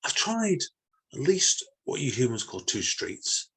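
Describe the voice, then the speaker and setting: Man doing a little green alien, raised pitch, husky, polite, pleading, short story character. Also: sing-song, measured pace, fairly bright tone, heavily acted. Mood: pleading, earnest.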